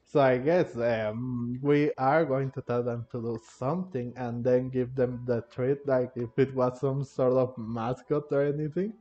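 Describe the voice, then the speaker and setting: A man giving casual, halting playthrough commentary with non-native phrasing, recorded on a dull lo-fi mic. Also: mid-range voice, steady tone. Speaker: gaming commentator. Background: faint game music.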